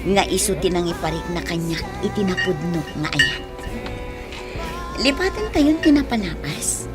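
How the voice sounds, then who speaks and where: A woman's voice: lowish voice, expressive, moderate pace, lightly acted. A woman with an Ilocano accent playing a grateful, tender, forgiving bride in a radio drama. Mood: grateful, earnest. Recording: radio drama with loud music bed.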